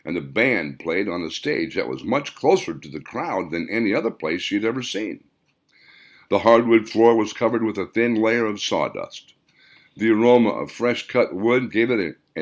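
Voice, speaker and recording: A man reading a scripted story aloud as the author and narrator of an audiobook. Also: fairly low pitch.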